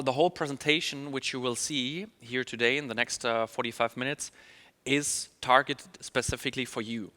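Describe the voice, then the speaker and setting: German-accented male trainer, upbeat presenter, bright voice, reverberant hall sound. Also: mid-range voice. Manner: upbeat, many fillers and restarts. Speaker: email marketing trainer. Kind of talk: online conference presentation.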